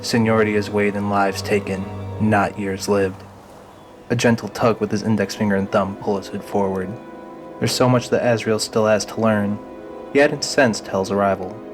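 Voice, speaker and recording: A man's voice: fairly low pitch, steady tone, normal speed. A man reading scripted, dramatic narration for an audio drama. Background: music.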